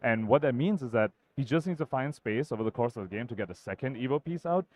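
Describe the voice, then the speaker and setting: A man's voice: medium pitch, animated, quick pace, darkish tone, no echo. A man giving analytical live commentary as a caster at a card game tournament. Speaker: esports-style tournament commentator.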